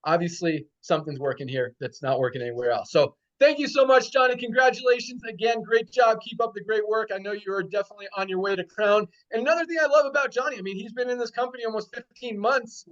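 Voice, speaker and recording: Male call host, high voice, enthusiastic, conversational, muffled call audio. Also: fairly bright tone. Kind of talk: upbeat call hosting.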